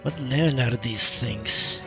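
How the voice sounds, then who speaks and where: A man's voice: mid-range voice, takes it slowly, creaky. A man streaming a video game, casually thinking aloud as he comments on his play. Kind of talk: live gameplay commentary, casual. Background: prominent game music.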